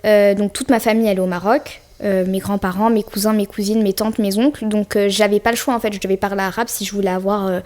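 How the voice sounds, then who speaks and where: A woman's voice: mid-range voice, steady tone, fast talker, few pauses, a little mellow. A young woman from France, a podcast guest, chatting casually and easily with plenty of fillers and slang. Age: young adult. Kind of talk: casual interview chat.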